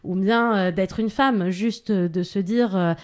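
A woman's voice: mid-range voice, measured pace. A woman speaking on a radio panel, explaining her points earnestly and hesitating often with filler sounds. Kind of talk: radio discussion.

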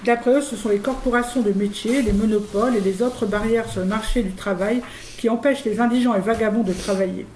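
A woman's voice: fairly high pitch, steady tone, brisk, darkish tone. A woman presenter reading scripted satirical commentary in a formal, declamatory, rhetorical manner. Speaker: radio show presenter. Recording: roomy, faint background noise.

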